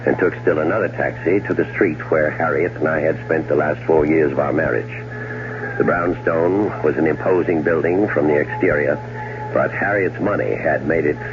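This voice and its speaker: An actor in a 1970s radio drama playing a fastidious, murderous husband who narrates with dry, precise urbanity. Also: male voice, very deep voice, noisy background. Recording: muffled old radio broadcast recording.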